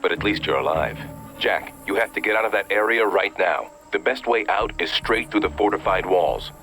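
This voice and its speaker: An actor playing a serious intelligence handler in a video game, briefing over a radio in an urgent, matter-of-fact way. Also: male voice, on the low side, moderate pace, pushed and bright, soft background music, low-quality recording. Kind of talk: video game cutscene acting.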